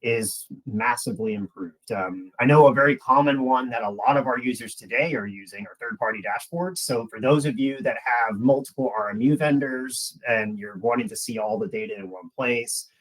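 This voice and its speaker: A man's voice: mid-range voice, moderate pace, hardly any pauses, low-quality recording. A man giving a webinar presentation as a product presenter, explaining in a conversational way and addressing the audience directly.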